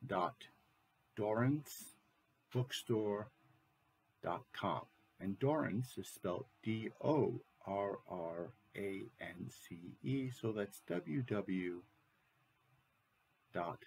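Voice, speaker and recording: A man in his sixties giving a measured, halting promotional announcement and reading to camera as an author, recorded on a webcam mic in a room at home. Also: lowish voice, vocal fry, husky, rough recording. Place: small room at home, indoors. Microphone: computer webcam microphone at desk distance.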